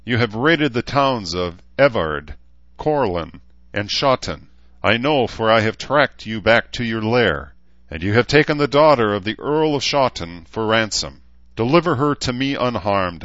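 A man voicing a bold young knight on horseback who shouts challenges and taunts at his foes. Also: lowish voice, lively intonation, unhurried, no echo, lo-fi sound, pitched above the performer's own voice. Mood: challenging. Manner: loud, challenging, mocking. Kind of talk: audiobook character voice.

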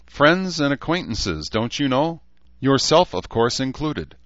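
A man's voice: mid-range voice, very animated, measured pace, voice pushed up. A man voicing a sardonic, defiant male outcast with a mocking, grandiose manner in a fantasy audiobook. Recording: dull, lo-fi, roomy sound.

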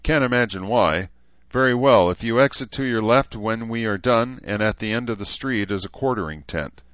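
A man voicing a brisk, no-nonsense woman clerk who speaks curtly and in a businesslike way. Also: fairly low pitch, fairly bright tone, dry recording, lo-fi sound, voice pushed up, lightly acted. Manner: curt, businesslike.

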